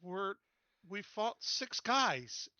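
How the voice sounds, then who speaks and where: Bright, blunt-voiced man at a game. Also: high voice, sing-song, extremely slow, no room sound, highs cut off. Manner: blunt, complaining. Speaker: tabletop game player. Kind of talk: casual game-table talk.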